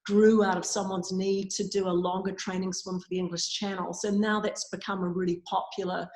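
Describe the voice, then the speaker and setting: A woman's voice: medium pitch, flat delivery, fairly quick, a little mellow. A woman, a swim coach chatting through interview answers with plenty of ums and uhs over a video call. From New Zealand. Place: at a swimming facility. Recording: echoey room sound.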